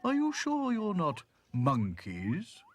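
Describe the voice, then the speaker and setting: A slow, hesitant giraffe from a children's storybook, acted out by a man. He is polite yet a little suspicious, drawing out his phrases with a very animated rise and fall. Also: a little high, a little hiss or hum, pitched above the performer's own voice, exaggerated voice. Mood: puzzled, suspicious. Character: mildly surprised male giraffe, suspicious but polite.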